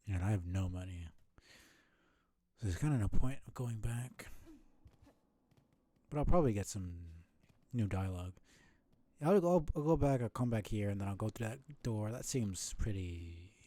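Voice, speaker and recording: Low, mellow-voiced man streaming a game. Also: animated, moderate pace, pauses often, dry recording. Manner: thinking aloud, swears casually. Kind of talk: casual live playthrough commentary.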